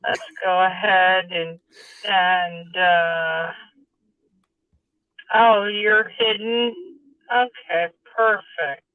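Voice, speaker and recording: A woman chatting casually on a livestream in a rambling, drawn-out way, heard through tinny replayed audio. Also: fairly low pitch, fairly even intonation, smooth, dry recording.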